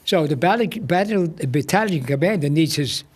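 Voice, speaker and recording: Male veteran in his eighties, slightly high pitch, pausing mid-sentence as he reminisces casually. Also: expressive, husky. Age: 80s. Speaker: guest, war veteran.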